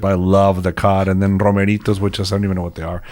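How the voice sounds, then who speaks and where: Male co-host, low voice, easygoing casual chat. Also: little pitch movement, quick pace, darkish tone.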